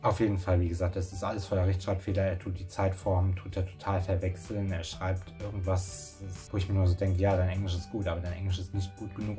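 A man's voice: low voice, darkish tone. A young man vlogging from his bedroom, telling a story in a chatty, rambling way with many restarts. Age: young adult. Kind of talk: casual storytelling to camera. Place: small bedroom indoors.

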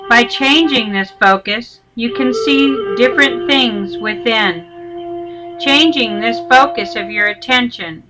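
A woman teacher narrating a guided meditation with measured, instructional delivery. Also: fairly high pitch, expressive, poor audio. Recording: loud music under the voice. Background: loud instrumental music.

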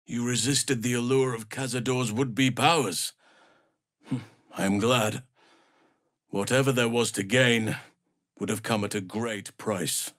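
A man voicing an earnest, solemn druid healer in a fantasy video game, speaking in measured, formal phrases. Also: medium pitch, fairly even intonation, a bit bright, dry recording, clean studio sound, close to an everyday voice. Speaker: video game companion character. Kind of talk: scripted fantasy game voice acting.

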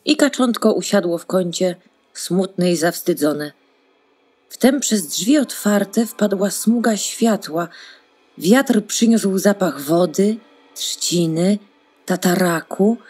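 A woman with a husky voice narrating a scripted fairy tale as a storyteller. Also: medium pitch, a little mellow.

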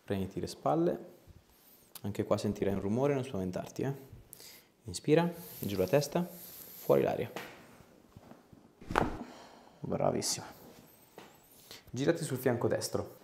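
Low gravelly man, reassuring chiropractor. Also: animated, normal speed, pauses often, warm tone, slight background noise. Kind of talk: gentle instructions to a patient during treatment. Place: small clinic treatment room. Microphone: clip-on lapel mic.

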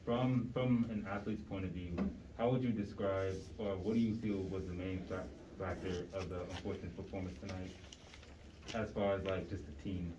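A man, a reporter, asking a halting question at a press conference, searching for words. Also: low-pitched, steady tone. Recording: roomy and distant, with background noise.

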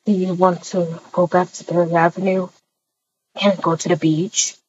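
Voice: medium pitch, flat delivery, unhurried, a little mellow, slight background noise, lightly acted. A performer playing a laid-back, cocky young man in a casual roleplay, speaking in an offhand, teasing way. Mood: easygoing. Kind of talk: casual improvised roleplay acting. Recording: dull, lo-fi home microphone.

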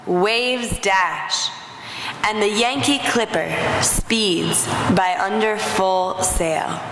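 A woman's voice: medium pitch, drawn-out words, a bit bright. A young woman reading poetry aloud at a church service in a careful, declamatory way. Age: young adult. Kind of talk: poetry recitation. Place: large wood-panelled church, indoors. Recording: very echoey church hall, background noise.

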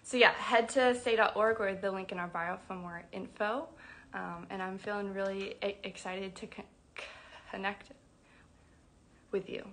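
Brief young woman, stutters, bright tone, unhurried, earnest livestream talk on phone at arm's length. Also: medium pitch, fairly even intonation. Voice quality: stutters with sound repetitions. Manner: earnest, affectionate, slow-paced. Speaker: program director. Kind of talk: casual livestream announcement. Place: indoors at home, small room. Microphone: phone camera at arm's length.

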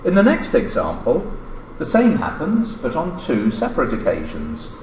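Man lecturing with animated intonation. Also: medium pitch. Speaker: academic lecturer reading a paper. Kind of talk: formal scripted lecture, read aloud. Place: indoor lecture room at a lectern. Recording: roomy lecture-hall echo, background noise.

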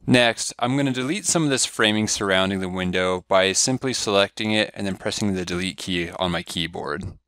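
A man giving a step-by-step software tutorial over a screen recording, walking through each click in a steady, instructive way. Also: deep voice, a little fast, smooth, no room sound. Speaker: software trainer.

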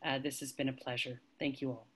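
A woman with a low voice talks through a video call in an online panel discussion. She speaks thoughtfully and pauses often with fillers. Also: level tone, breathy edge. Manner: thoughtful, hesitant with many fillers. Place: home indoors.

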